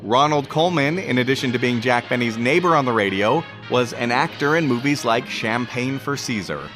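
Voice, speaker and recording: A man's voice: medium pitch, moderate pace, music in the background. A man hosting a podcast with scripted, explanatory narration. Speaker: podcast host.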